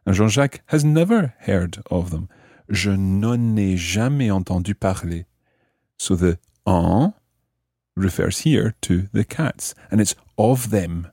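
A man's voice: low voice, expressive, slow pace, mellow, breathy edge, no echo, clean studio sound. A man hosting a language lesson, explaining grammar methodically and repeating phrases as he teaches. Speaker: language teacher and podcast host.

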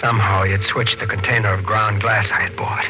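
An actor playing a guilt-ridden, nervous lover, a man telling his dark story tensely in an old radio mystery. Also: on the low side, level tone, fast, background noise, lightly acted. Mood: brooding narration. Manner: tense, brooding. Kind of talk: 1940s radio mystery narration and dialogue. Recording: muffled old radio recording with music.

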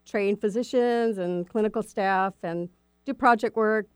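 A middle-aged woman speaking as a guest in a casual interview, relaxed and plainspoken. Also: medium pitch, measured pace, smooth, no room sound.

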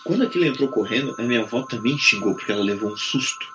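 A man's voice: mid-range voice, lively intonation, very fast, poor audio. Brazilian man storytelling over prominent music. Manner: colloquial, acts out his own quoted reactions. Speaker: podcast guest. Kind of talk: animated casual personal storytelling. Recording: music playing behind the voice.

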